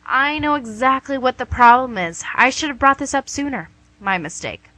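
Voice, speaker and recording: Devil for radio comedy, played by a woman: bright, forceful voice, smug salesmanship, teasing rhetorical questions. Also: sits high, normal speed, dry recording, low-quality recording. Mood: chatty, persuasive. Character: the Devil, wheeling-dealing, smug, teasing tempter. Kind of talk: radio play comedy acting.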